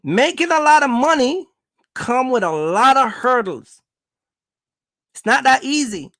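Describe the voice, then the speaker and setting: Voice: high voice, expressive, a little slow, fairly bright tone, no room sound, studio quality. A speaker gives casual motivational advice as a host, talking emphatically, repeating points and checking in with listeners. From Jamaica. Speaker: host, trucking business coach.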